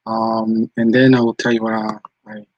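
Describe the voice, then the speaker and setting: A man's voice: fairly low pitch, little pitch movement, normal speed, darkish tone, no room sound, dull audio. A man from Rwanda, hosting a live stream, chatting casually and answering viewers' comments as they come in. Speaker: channel host.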